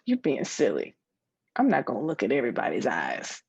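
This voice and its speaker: A woman's voice: rumbling low, wildly swooping pitch, brisk, vocal fry, a little mellow, husky, dull audio, pitched below the performer's own voice, heavily acted. A woman voicing a blunt, impatient girl, an imaginary friend who gives curt, scolding replies in a literary read-aloud.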